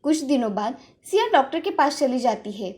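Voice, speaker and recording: A woman's voice: high-pitched, very animated, normal speed, a little mellow. A woman storyteller reading scripted narration for a moral tale.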